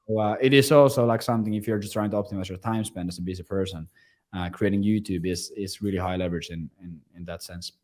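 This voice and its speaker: A man's voice: sits low, fairly even intonation, fast, darkish tone. A man from Finland with a Finnish accent, speaking English as a second language, talking casually and with enthusiasm about his business as an interview guest. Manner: enthusiastic, loose phrasing with fillers and restarts.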